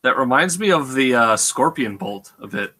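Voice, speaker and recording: A man's voice: mid-range voice, lively intonation, normal speed, bright, forceful tone. A man on a video call, joking and teasing during casual chat. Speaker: panelist.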